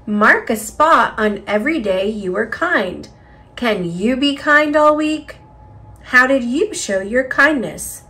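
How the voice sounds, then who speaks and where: A woman with a high, very lively voice reads a picture book to children. She takes her time, speaking carefully and expressively. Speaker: storybook reader.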